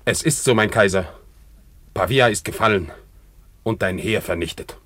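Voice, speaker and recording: War minister, radio play: fairly low husky voice, dutiful, formal, earnest military bearing. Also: male voice, moderate pace, fairly bright tone, no echo. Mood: grave, exasperated. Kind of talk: German radio play acting.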